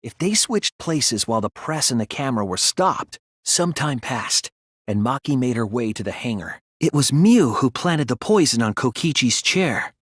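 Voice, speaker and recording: A man playing an earnest, analytical young male detective in an English video game dub, reasoning deliberately and articulately. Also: mid-range voice, sing-song, husky, no room sound, clean studio sound. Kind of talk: English game dub, dramatic courtroom deduction.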